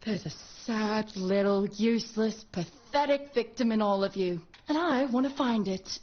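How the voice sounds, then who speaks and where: A woman's voice: medium pitch, moderate pace, lo-fi sound, close to an everyday voice. A woman voicing a theatrical, scornful drama teacher in a satirical cartoon.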